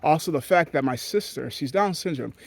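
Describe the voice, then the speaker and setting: A man's voice: lively intonation, a little fast, creaky, dry recording. A man from Haiti giving a blunt, profane, rambling monologue to his audience as a vlogger. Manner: blunt, profane and rambling, with sudden jumps in pitch. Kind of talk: candid ranting monologue.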